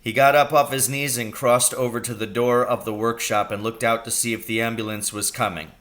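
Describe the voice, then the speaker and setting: A man reading a children's book aloud in an expressive storytelling style. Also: medium pitch, brisk. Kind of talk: expressive scripted story narration.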